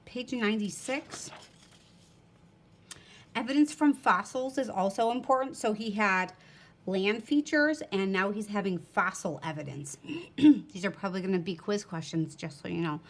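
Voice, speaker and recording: A woman's voice: mid-range voice, normal speed. A woman teacher reads a textbook aloud to students, breaking off for informal explanatory asides.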